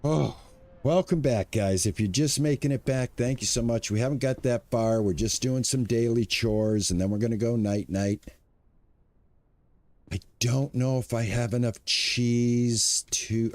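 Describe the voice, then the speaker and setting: A man's voice: lowish voice, a little mellow, no room sound. A man in his sixties chatting casually to his viewers as he streams a farming game, upbeat and talkative. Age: 60s. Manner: chatty, upbeat, talks to chat. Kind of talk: casual live-stream commentary.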